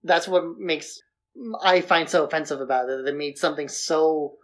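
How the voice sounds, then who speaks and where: A man's voice: a little high, moderate pace. A man co-hosting a podcast, discussing films in a casual, conversational style. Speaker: podcast co-host.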